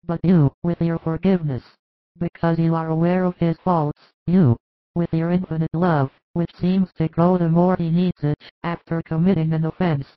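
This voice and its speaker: A devout, anxious biblical mother, as a male storyteller plays her, speaks in a raised, tender pitch. Her delivery is fervent and effusive, in a religious storybook style. The recording is dry and a little dull. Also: no echo, lightly acted. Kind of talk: dramatized scripted religious storytelling.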